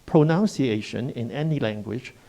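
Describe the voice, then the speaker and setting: A middle-aged man with a gravelly, mellow voice speaks on a panel. He explains emphatically and walks through his points one at a time, like a kindergarten educator making a case. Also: medium pitch, normal speed, rough recording. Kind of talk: panel discussion. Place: Hong Kong.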